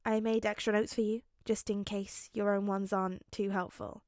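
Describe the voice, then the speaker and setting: A woman voicing a kind, earnest teenage boy with a soft, lightly teasing way of talking, in a story reading. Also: mid-range voice, flat delivery, moderate pace, dry recording, studio quality, close to an everyday voice. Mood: fond, teasing. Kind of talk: acted audiobook-style fan fiction reading.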